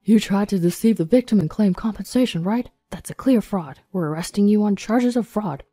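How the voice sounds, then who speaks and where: A woman voicing a stern, commanding policeman in a firm, forceful manner for a dramatic story. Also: medium pitch, brisk, no echo, faint music behind, lightly acted.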